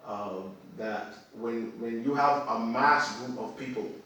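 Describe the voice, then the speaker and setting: A man's voice: medium pitch, slow, sharp tone. A man who is a religious teacher, speaking as a guest in a conversation in an emphatic way, repeating phrases for effect. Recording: very echoey room, far from mic.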